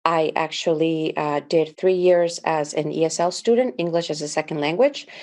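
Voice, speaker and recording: A woman in her thirties from the Dominican Republic gives her opening statement as a school board candidate. Her voice sits low, and she speaks earnestly with many small fillers. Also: normal speed, a little mellow. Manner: earnest, with frequent "uh" and "um".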